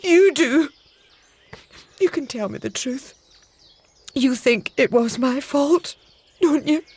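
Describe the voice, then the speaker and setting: An actress in a radio drama playing a distraught, self-pitying wife whose husband is missing, tearful and clinging in manner. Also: female voice, sits high, lively intonation, takes it slowly, darkish tone, exaggerated voice. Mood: distraught, accusing.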